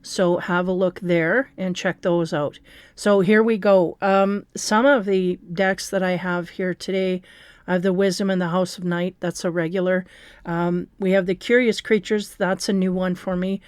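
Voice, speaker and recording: A woman with a raspy, hoarse voice giving a casual tarot card reading, rambling and restarting her sentences with many fillers. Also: lowish voice, level tone, moderate pace, no echo, studio quality.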